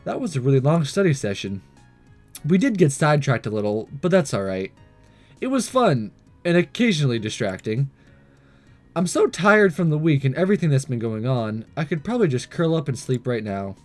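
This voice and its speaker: A man's voice: mid-range voice, sing-song, a little fast, faint music behind, voice pushed down, close to an everyday voice. Man acting flustered, hesitant teenage boy. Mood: nervous. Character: awkward nervous teenage boy, visual novel protagonist disguised as girl.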